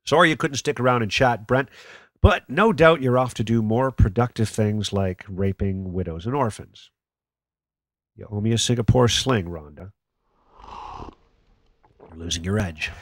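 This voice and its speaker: A man's voice: on the low side, moderate pace, long pauses, no echo, natural voice. A man playing a jaded, sarcastic call-in radio host in a radio play. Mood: sardonic. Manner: dry, sarcastic. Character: sardonic, jaded male conspiracy talk radio host. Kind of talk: radio play acting as talk radio.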